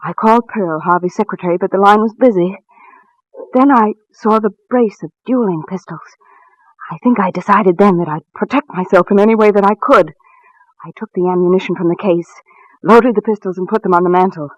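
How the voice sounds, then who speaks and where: Frightened, halting woman played by an actress, 1940s radio. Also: medium pitch, no echo, dull audio, lightly acted. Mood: haunted, tense. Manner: hesitant, halting, repeating words. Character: frightened, nervous married woman telling a tense confession. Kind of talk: 1940s radio drama acting. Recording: vintage 1940s radio recording, faint music.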